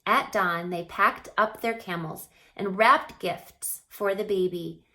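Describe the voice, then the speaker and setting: A woman reading a children's story aloud with dramatic expression, stretching words and dropping into hushed passages. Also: medium pitch, slow. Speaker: storybook reader.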